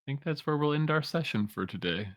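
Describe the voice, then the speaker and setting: A man's voice: a little high, fast, heavy background noise. A man narrating as game master of a tabletop roleplaying session, laid-back and laughing easily. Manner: relaxed descriptive narration, laughs often.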